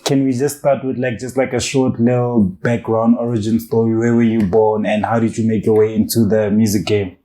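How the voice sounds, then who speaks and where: A man's voice: lowish voice, level tone, fairly quick, hardly any pauses, dark tone, low-quality recording. A man hosting a casual music interview, easygoing and chatty with lots of short affirmations. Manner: easygoing, short affirmations, calls guest bro. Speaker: interviewer, host.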